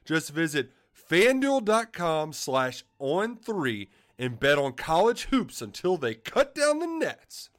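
Lively higher-pitched male ad announcer. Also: slow pace, hardly any pauses. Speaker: advertisement announcer. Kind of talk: scripted promotional ad read.

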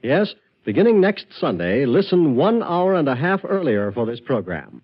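A man's voice: fairly high pitch, very animated, moderate pace, breathy edge. A man reading a scripted network announcement in the style of 1950s radio. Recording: muffled 1950s radio recording.

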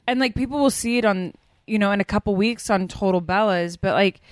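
A woman's voice: mid-range voice, fairly quick, dry recording. Chatty woman guest, dry sound. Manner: chatty, storytelling with quoted asides. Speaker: podcast guest. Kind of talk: casual chat.

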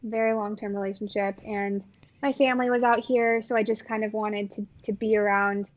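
A woman's voice: medium pitch, flat delivery, moderate pace. A woman in her twenties from the Los Angeles area, chatting casually with a friend, trailing off with fillers. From Los Angeles area, USA. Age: 20s. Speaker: podcast guest. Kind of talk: casual chat.

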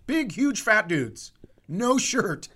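A man's voice: high-pitched, sing-song, slow pace, sharp tone, husky. A man co-hosting a podcast, telling stories in a casual, blunt and sardonic comic manner. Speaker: podcast co-host.

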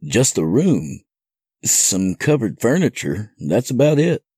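A man acts a nervous helper in a horror tale. The voice is husky and lifted, blunt yet hesitant, with an urgent edge. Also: lowish voice, big pitch swings, slow pace, mellow, no room sound, studio quality, voice pushed up, exaggerated voice. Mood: uneasy surprise. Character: uneasy male helper, startled and blunt. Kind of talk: audio horror story character acting.

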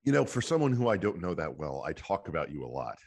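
Very deep-voiced man hosting an interview. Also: big pitch swings, quick pace, a little mellow. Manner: loose questions full of fillers. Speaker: podcast host. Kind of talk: conversational interview.

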